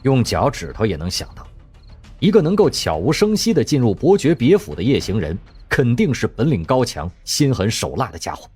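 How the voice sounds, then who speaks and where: A man narrating an audio drama, telling the story expressively in a husky voice. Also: mid-range voice, big pitch swings, fairly quick, a little mellow, soft background music.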